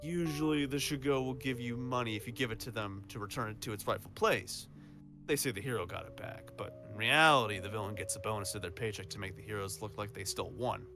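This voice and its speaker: A man playing a proud, defiant supervillain with a soft side in an audio drama, speaking emphatically and earnestly. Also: fairly low pitch, a little fast, music in the background, close to an everyday voice. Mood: businesslike.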